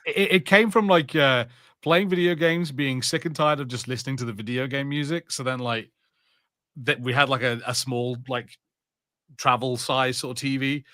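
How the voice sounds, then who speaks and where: A man co-hosting a podcast, telling casual anecdotes in a halting, rambling way and often repeating words. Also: mid-range voice, steady tone, pushed and bright, no echo, studio quality. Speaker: podcast co-host. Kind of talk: casual chat and personal anecdotes.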